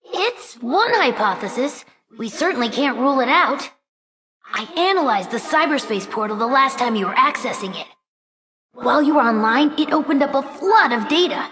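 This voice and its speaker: A woman's voice: high-pitched, animated, normal speed, crowd noise. A woman voicing an earnest, brainy young fox boy sidekick in a video game, with a youthful, articulate way of talking. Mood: focused, curious. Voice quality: youthful, boyish. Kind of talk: video game character voice lines.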